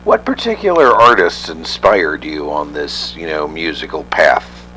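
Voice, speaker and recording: Male interviewer, very deep voice, lively intonation, faint hum. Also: unhurried, low-quality recording. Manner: interested, prompting questions. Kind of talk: interview questions.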